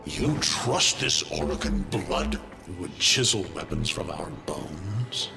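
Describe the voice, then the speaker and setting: An actor playing an ancient, vengeful machine overlord in a video game, making grandiose and ominous declarations. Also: male voice, low voice, lively intonation, creaky, heavy background noise, full character voice. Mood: vengeful, commanding. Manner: slow, ominous, theatrical declarations. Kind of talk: dramatic video game voice acting.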